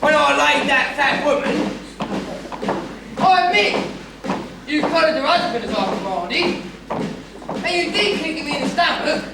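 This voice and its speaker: Brutish army superior, hectoring, gravelly, extremely high-pitched, stage drama, big echoey hall. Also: male voice, expressive, a little slow, pauses often, exaggerated voice. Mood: contemptuous, scolding. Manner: hectoring, rhetorical questioning. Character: brutish, bullying military superior, crude and contemptuous. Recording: echoey large hall, distant, loud noise.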